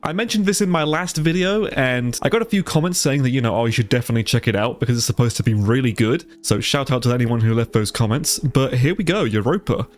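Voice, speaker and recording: A man's voice: mid-range voice, fast, few pauses, soft background music. A man with a stuffy, congested nose giving casual, sweary let's play commentary and sounding easily amazed. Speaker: gaming YouTuber, player.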